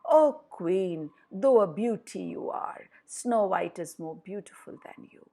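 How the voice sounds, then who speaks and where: A woman's voice: mid-range voice, expressive, slow, vocal fry, warm tone, heavily acted. A woman voicing a formal, solemn magic mirror in a storybook, speaking in a declamatory way.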